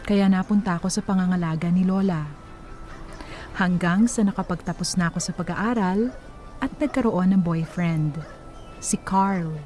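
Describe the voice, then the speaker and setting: A woman's voice: on the low side, moderate pace, long pauses, a little mellow, lightly acted. An actress playing a reflective woman who tells her own life story as scripted drama narration. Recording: faint music behind.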